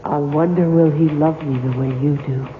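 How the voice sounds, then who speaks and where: A woman's voice: deep voice, steady tone, moderate pace, close to an everyday voice. An actress playing a coquettish, self-absorbed ghostly fictional woman, airy and teasing, in 1970s radio drama style. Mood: teasing, dreamy. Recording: echoing, tinny, noisy old radio recording.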